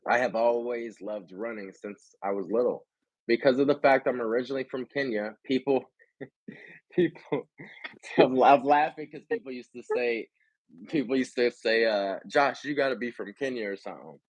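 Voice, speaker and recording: A man's voice: mid-range voice, moderate pace, no echo, dull audio. Enthusiastic man host, dry close sound. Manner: enthusiastic, says bro and dude often. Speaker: podcast host. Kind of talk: casual chat, reading a book aloud.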